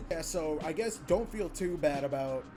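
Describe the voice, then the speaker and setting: A man's voice: on the high side, expressive, normal speed, noisy background. A man giving informal, sweary, amused commentary as he reviews a video game. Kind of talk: casual, conversational game commentary. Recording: music playing under the voice. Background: music.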